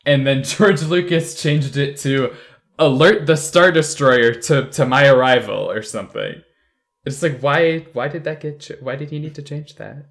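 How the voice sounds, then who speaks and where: A man's voice: on the high side, normal speed. Man in casual, jokey film chat. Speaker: podcast co-host. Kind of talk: casual panel chat about films.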